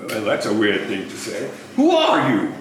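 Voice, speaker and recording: A man's voice: lowish voice, wildly swooping pitch, moderate pace. A man playing a crabby old miser in an amateur dramatic stage reading, recorded in a noisy, echoey room. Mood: alarmed.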